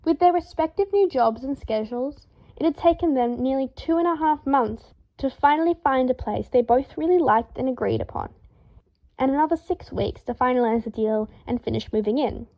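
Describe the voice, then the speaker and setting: A woman reading a story aloud in a lively, expressive way, acting out the dialogue. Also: sits high, normal speed, dull audio. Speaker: fan fiction reader.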